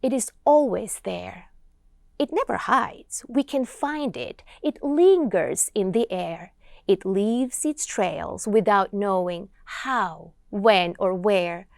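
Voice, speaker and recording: A woman's voice: mid-range voice, creaky, dry recording. Georgian woman reciting poetry, dry sound. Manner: earnest, slow and expressive when reciting. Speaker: guest poet. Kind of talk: interview, reciting poems.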